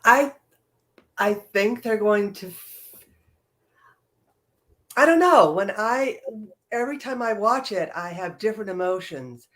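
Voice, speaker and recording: A woman's voice: mid-range voice, expressive, a little slow, pauses often, a bit bright. A woman in her sixties answering interview questions reflectively, hesitating as she searches for words. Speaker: stage play director, interview guest.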